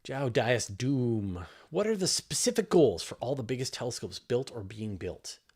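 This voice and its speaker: A man's voice: mid-range voice, sing-song, moderate pace, darkish tone, husky. A middle-aged man hosting a casual question-and-answer stream, explaining science off the cuff in an emphatic, conversational way. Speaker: host answering live audience questions on space and astronomy.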